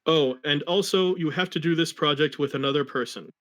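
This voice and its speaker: A man voicing an emphatic high school history teacher in a dramatic story reading. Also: a little fast, fairly bright tone, natural voice.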